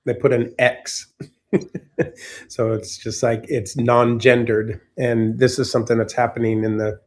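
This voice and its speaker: A man joining a casual group call to explain something, speaking in quick runs. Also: mid-range voice, flat delivery. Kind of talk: casual video call chat.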